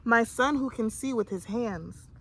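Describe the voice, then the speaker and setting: A woman's voice: a little high, unhurried, a bit bright, slightly rough, heavily acted, low-quality recording. A woman voicing an emphatic, proud village baker mother from a children's storybook. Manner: emphatic, exclaiming. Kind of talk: storybook character voice.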